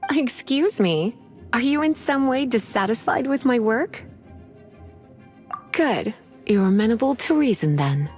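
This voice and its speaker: A woman voicing a sultry, teasing librarian who speaks in a languid, gently threatening way, in a video game with music behind. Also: fairly high pitch, expressive, measured pace, long pauses. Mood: sly.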